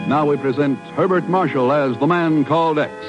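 A man's voice: fairly high pitch, moderate pace, over music. A man with an American accent announcing in a polished, emphatic 1950s radio style from a script. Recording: muffled old radio broadcast.

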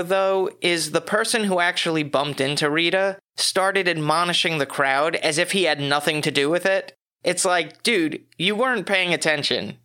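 A man hosting his own podcast, reading a scripted movie review with dry, wry asides, his voice a little hoarse from a cough. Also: on the high side, sharp tone. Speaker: podcast host.

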